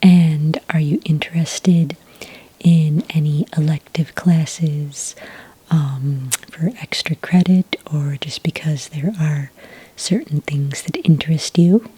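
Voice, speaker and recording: Lady doing a friendly witch registrar for asmr roleplay, super breathy whisper, gentle n patient, really dry close sound. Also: low voice, steady tone, dry recording, heavily acted, low-quality recording. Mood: gentle, helpful. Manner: gentle, helpful, unhurried questioning. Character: friendly, patient adult witch working as academy enrollment clerk. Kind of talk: soft-spoken ASMR roleplay.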